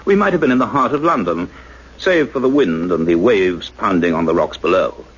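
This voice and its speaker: A polite, observant scientist in a radio play. His lowish voice is formal and measured, with plenty of rise and fall. The sound is dull, with some noise. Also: animated, husky. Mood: ominous, reflective. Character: courteous visiting scientist and story's narrator, reserved, observant. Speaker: narrator and character. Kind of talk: radio play narration and dialogue. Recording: noisy, dull radio drama recording.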